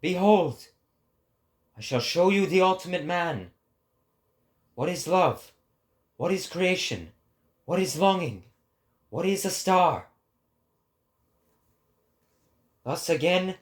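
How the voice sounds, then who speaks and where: A man acts out a lofty male prophet delivering a philosophical oration. The voice sits fairly high and moves very slowly, each phrase weighed out with grave, sorrowful, declamatory weight. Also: animated. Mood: solemn warning.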